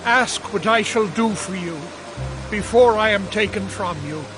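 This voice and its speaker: A man playing a grave master prophet in dramatized scripture, speaking in a solemn, measured way. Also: sits high. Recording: prominent music under the voice.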